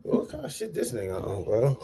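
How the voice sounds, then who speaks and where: A man's voice: fairly low pitch, huge pitch swings, a little fast, mellow, slightly rough. A man hosting a reaction channel, talking loosely in slang and repeating himself as he comments on a clip. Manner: loose, slangy and repetitive, restating points.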